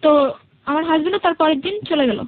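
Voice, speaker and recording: A woman with a notably high voice and a Bangladeshi accent tells a personal story as a radio guest. She speaks colloquially and haltingly, often circling back over her own phrases. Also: narrow, tinny audio. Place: radio studio.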